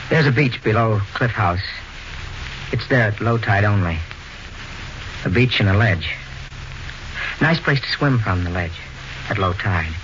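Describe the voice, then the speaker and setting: A man playing a guarded, uneasy remarried widower in a 1940s radio mystery, evasive and halting in his talk. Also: fairly low pitch, natural voice. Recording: noisy, muffled old radio recording.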